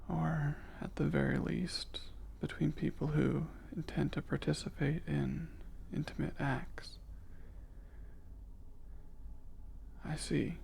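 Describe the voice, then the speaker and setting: Man as slow, gravelly, reserved alien scientist. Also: a little high, a little mellow, heavily acted. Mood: curious, awkward. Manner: formal, precise, hesitant, measured diction. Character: curious, emotionally reserved adult male alien scientist. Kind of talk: scripted ASMR roleplay, intimate.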